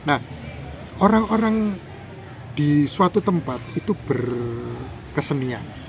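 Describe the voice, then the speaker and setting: A man's voice: takes it slowly, creaky, noisy background. A man from Java, an interview guest explaining culture in a lecturing, emphatic way. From Java, Indonesia. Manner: lecturing, emphatic, lively rises in pitch for examples.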